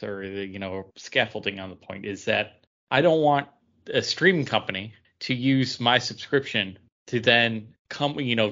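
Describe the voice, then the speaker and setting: A man co-hosting a podcast, debating casually and often rephrasing haltingly mid-thought. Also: mid-range voice, a bit bright. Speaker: podcast co-host. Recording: dull lo-fi audio.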